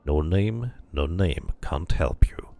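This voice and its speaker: A man's voice: sits low, lively intonation, takes it slowly, gravelly, dark tone, exaggerated voice. A man voicing an eerie, crooked-smiled keeper of dead names in a fantasy game, with a cryptic, repetitive sing-song delivery.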